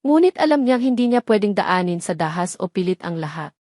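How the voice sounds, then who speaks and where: A woman with a Filipino accent reading a romance story as a measured, dramatic narrator. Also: mid-range voice, sing-song, darkish tone, no room sound, clean studio sound.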